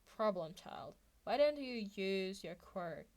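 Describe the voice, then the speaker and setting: A woman voicing a gruff, protective male teacher and hero who speaks in short, firm phrases. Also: mid-range voice, animated, a little mellow. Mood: stern. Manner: terse, firm, deliberate.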